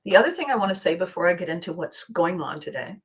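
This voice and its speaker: Female vlog host, lowish scratchy voice, casual motivational chat, expressive and emphatic delivery. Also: fast talker. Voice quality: slightly hoarse, scratchy. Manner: expressive, acting out bits of dialogue. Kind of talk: casual motivational monologue to viewers.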